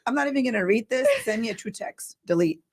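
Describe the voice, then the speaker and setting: Fast-talking raspy woman. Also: fairly high pitch, animated. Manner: loud, laughs often. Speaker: co-host. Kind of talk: casual live stream chat.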